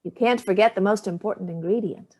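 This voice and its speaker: A woman plays a sharp-tongued older mother with a dry, cutting delivery. Her jabs come out level and sardonic, at a middle pitch. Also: a little fast, natural voice. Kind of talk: staged play reading.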